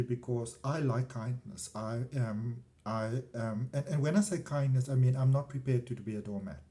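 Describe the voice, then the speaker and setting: A man's voice: medium pitch, dark tone. A middle-aged man with a South African accent, talking casually and discursively as a spiritual vlogger, often trailing off into asides. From South Africa, countryside. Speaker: spiritual vlogger and energy healer. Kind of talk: casual rambling personal talk to camera.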